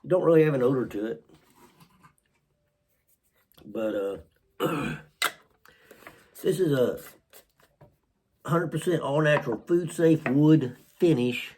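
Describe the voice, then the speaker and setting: A man hosting a casual unboxing vlog while sick with a cold, hoarse, halting, often clearing his throat, with a Southern US accent. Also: mid-range voice, dark tone, a little hiss or hum. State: sick with a cold, voice partly lost. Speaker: channel host.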